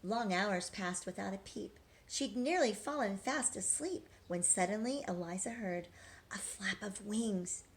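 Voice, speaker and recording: Slow, gentle teacher reading to kids. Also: female voice, medium pitch. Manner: gentle and engaging, asks questions. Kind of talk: children's read-aloud.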